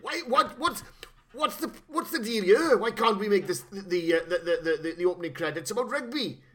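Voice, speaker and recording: A man's voice: high-pitched, a little fast. A man co-hosting a podcast, chatting casually and jokily about a film, swearing freely. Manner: jokey, animated, swears freely. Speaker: podcast co-host. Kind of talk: casual film-review chat.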